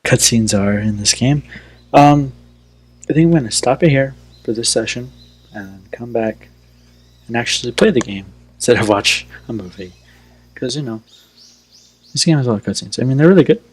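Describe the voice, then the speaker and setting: A man's voice: mid-range voice, expressive, normal speed, pauses often. A man giving casual, informal let's play commentary in a rambling way. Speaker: gamer giving live commentary.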